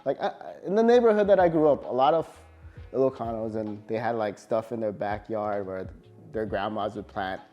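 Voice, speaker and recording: A man from California, a tattoo artist, talking casually in an interview with a relaxed, halting manner full of fillers. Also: lowish voice, muffled recording, faint music behind.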